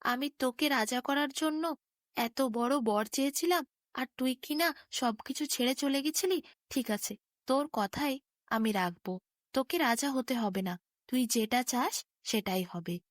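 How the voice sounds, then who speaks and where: A woman's voice: sits high, measured pace, dry recording, clean studio sound. A woman voicing a loving, emotional queen and mother in a storybook, tender and pleading. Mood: tearful relief, pleading.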